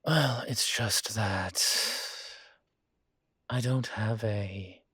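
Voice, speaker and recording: A man playing a vain, seductive yet politely awkward incubus demon professor in an intimate audio roleplay, speaking hesitantly with drawn-out phrasing. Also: on the low side, a bit bright, slightly rough, dry recording, clean studio sound, full character voice. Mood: sheepish. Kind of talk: intimate audio roleplay, one-sided conversation.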